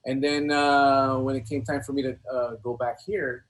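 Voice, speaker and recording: A middle-aged man reminiscing casually as an interview guest, in a halting, slangy way that often doubles back on words. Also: mid-range voice, little pitch movement, low-quality recording. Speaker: guest, rapper.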